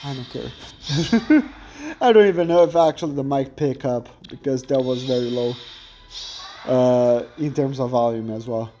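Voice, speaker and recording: A man's voice: mid-range voice, normal speed, warm tone, soft background music. A young man with a thin voice chatting casually and rambling while commentating on his gameplay, often correcting himself mid-sentence.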